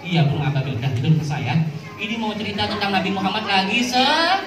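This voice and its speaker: A woman telling religious stories to children, animated and teacherly, with questions and call-and-response. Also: mid-range voice, fast talker. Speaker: children's storyteller. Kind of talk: interactive religious storytelling for children. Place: outdoor mosque yard event. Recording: echoey PA, distant mic, music and crowd.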